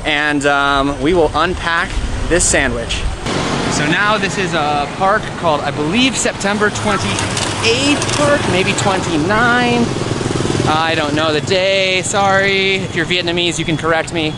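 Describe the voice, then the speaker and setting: A man's voice: high voice, unhurried. A young man talking casually and eagerly to a camera held at arm's length, outdoors in a city park, with lots of fillers. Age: young adult. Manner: chatty, enthusiastic, many fillers. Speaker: travel vlogger. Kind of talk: casual travel vlog. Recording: outdoor street noise.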